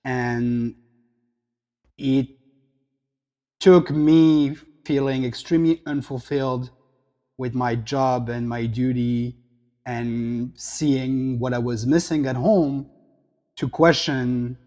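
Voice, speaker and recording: A middle-aged man with a French accent speaks as a reflective interview guest, at an average pitch. He talks over a video call from a room at home, and his voice carries a long reverb. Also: steady tone, slow, long pauses, dark tone. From south of France. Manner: reflective, gesturing hands while talking. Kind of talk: interview conversation.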